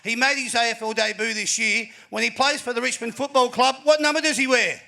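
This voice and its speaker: An Australian man hosting a live panel show before an audience, energetic and announcer-like, with plenty of fillers. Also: very high-pitched, brisk, creaky. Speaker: host.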